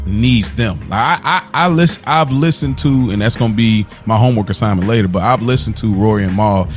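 Man, lower-pitched voice, casual podcast hosting, slangy rambling delivery, music behind him. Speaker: podcast host.

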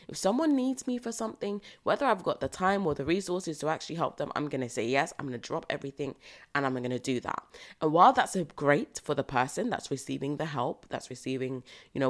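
British woman, low voice, bit croaky early morning, earnest pep-talk podcast vibe. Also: lively intonation, quick pace. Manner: earnest, motivational, repeats phrases for emphasis. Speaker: podcast host. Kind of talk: solo reflective podcast monologue.

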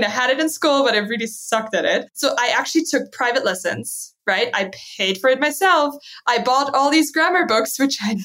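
German woman, lively guest, higher pitch. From southern Germany. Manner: lively, laughs often, says "right?" a lot. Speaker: podcast guest. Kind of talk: casual interview chat.

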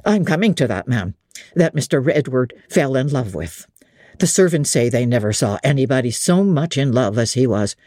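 An older country innkeeper, as an audiobook reader puts him on, leans in to share gossip in rustic, confiding speech. His voice is dark and husky, recorded dry and close. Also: mid-range voice, lively intonation, moderate pace, dry recording, lightly acted. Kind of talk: audiobook character reading.

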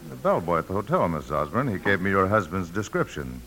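A businesslike fixer in a vintage radio play. His voice is very low with a sharp, bright edge, and he insinuates his price after hesitant openings. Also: sing-song, brisk, slight background noise, exaggerated voice. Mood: insinuating. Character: businesslike, grim travel agent angling for a bribe. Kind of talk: 1950s radio drama acting.

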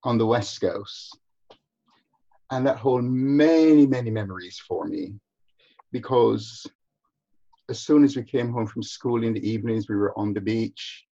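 A man's voice: mid-range voice, measured pace, gravelly, long pauses, mellow. An older man from Barbados, reminiscing as an interview guest, repeating phrases for emphasis, on a muffled recording. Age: older, over 60. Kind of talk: reminiscing in conversation.

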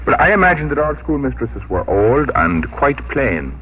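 A man acting an eager, charming young well-to-do gentleman courting someone, in 1950s radio drama style. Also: medium pitch, big pitch swings, moderate pace, natural voice. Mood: amused, courteous. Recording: noisy, muffled 1950s radio broadcast.

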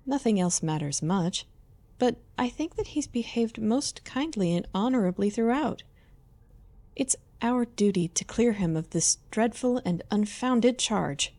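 A woman playing a gentle, principled young Victorian lady in an audio drama, earnest and genteel in manner. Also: a little high, lively intonation, measured pace. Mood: earnest, concerned.

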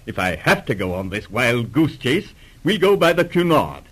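A man's voice: medium pitch, sing-song, moderate pace, a bit bright, husky. A man playing a pompous, spluttering, henpecked old English lord in 1940s radio drama style. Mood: flustered, grudging.

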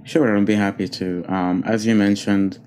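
Deep-voiced Egyptian-born man, thoughtful. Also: level tone, moderate pace, darkish tone, slight background noise. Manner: thoughtful, with many fillers and restarts. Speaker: guest, concert pianist. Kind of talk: interview answers.

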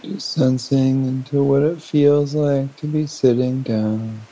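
A man leading a guided meditation in a gentle, unhurried manner with long pauses. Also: medium pitch, fairly even intonation, low-quality recording. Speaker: meditation teacher.

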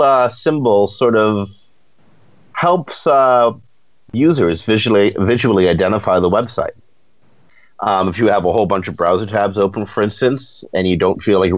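A man's voice: fairly low pitch, no room sound, lo-fi sound. A man co-hosting a casual talk show, explaining things with playful, jokey asides. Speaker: podcast co-host. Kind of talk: casual chat.

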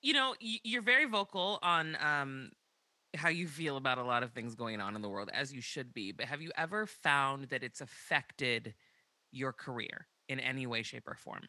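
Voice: medium pitch, lively intonation, moderate pace, bright, forceful tone, no echo. An interviewer asking questions in a casual conversation, supportive, with frequent agreeing backchannels.

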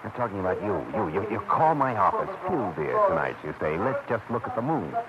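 A man's voice: lowish voice, very animated, brisk, a loud crowd. An American man playing a wry, slangy, smitten private detective in a 1940s radio drama. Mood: sarcastic, exasperated. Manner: wry, slangy, world-weary. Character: smitten, wisecracking hard-boiled male private detective. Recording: noisy, muffled old radio broadcast.

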